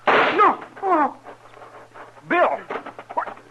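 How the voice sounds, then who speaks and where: High, earnest young cowboy. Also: male voice, animated, extremely slow, exaggerated voice. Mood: horrified, protesting. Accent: American Western. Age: young adult. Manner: earnest, rustic, polite. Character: earnest, soft-hearted young man learning to be gunman. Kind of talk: 1950s radio western acting. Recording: muffled, hissy old radio recording.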